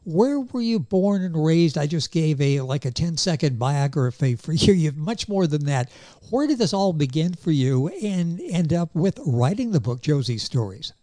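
A man's voice: a little high, lively intonation, moderate pace, few pauses, warm tone, dry recording, clean studio sound. A man hosting an interview program, genial and upbeat with an announcer's delivery. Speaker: radio talk show host.

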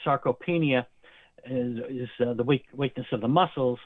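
A man's voice: mid-range voice, moderate pace, no room sound. A man in an interview, explaining nursing and medical terms at length, as a healthcare guest. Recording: tinny phone line, dry.

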